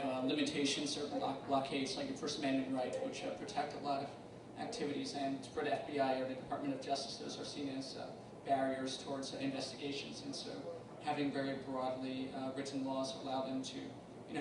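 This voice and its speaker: A man in the audience making a point in a halting way, with many fillers. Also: medium pitch, monotone. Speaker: audience member. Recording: very echoey hall from far off, noisy.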